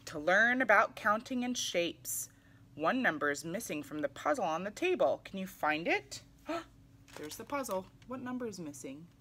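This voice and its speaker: A woman reading a picture book aloud to young children in an animated, exclamatory way. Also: mid-range voice, moderate pace, a little hiss or hum. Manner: animated, exclamatory, with audible gasps. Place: small indoor room, seated in armchair.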